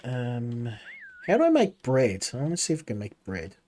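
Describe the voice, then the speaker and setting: A man's voice: medium pitch, huge pitch swings, normal speed, warm tone. A man streaming a game, chatting casually and rambling while talking to his chat. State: coming down with a cold.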